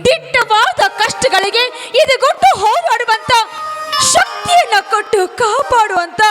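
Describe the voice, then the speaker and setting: Woman acting very high-pitched, devoted stage wife. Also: expressive. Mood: pleading, prayerful. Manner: emotional, theatrical, pleading, drawn-out delivery. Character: devoted, pleading young village wife Lakshmi. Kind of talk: melodramatic Kannada stage drama acting. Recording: loud music over the voice.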